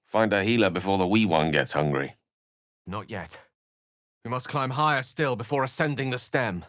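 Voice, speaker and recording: An eloquent, lively-voiced wizard. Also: male voice, fairly low pitch, moderate pace, no echo, studio quality. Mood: brisk, encouraging. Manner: eloquent, courtly phrasing with wry asides. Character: learned, wry, courteous male human wizard. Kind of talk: video game character voice lines.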